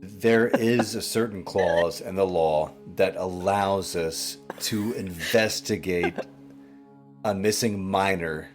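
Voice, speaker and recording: A man's voice: low voice, slow, faint music behind. A man playing an uncomfortable, formal lawyer investigator who phrases things stiffly and carefully.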